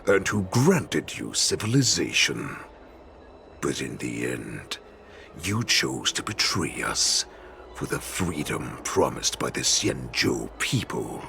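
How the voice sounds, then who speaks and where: An actor playing an ancient wolfish warlord in a video game, menacing, contemptuous and commanding, speaking in an imperious growl. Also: male voice, fairly bright tone, heavily acted. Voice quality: very deep gravelly growl. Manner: slow, imperious, mocking. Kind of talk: dramatic video game voice acting.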